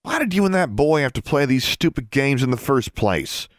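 A man's voice: lowish voice, sing-song, brisk, no room sound, clean studio sound. A man voicing a weary, defensive teenage boy, an older brother, in an audiobook, reasoning and exasperated.

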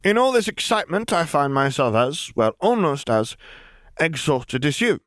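Man voicing a glib, high-pitched young witch boss. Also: big pitch swings, normal speed, fairly bright tone, no room sound, studio quality. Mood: weary, mock-polite. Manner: glib, mock-polite, theatrical taunting. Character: brash young witch crime boss, wry and menacing. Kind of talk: acted dialogue in fan fiction audiobook.

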